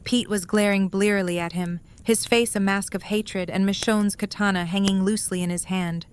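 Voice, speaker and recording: Female narrator for scripted fiction, medium-pitched, with light background ambience. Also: steady tone, normal speed, a little hiss or hum.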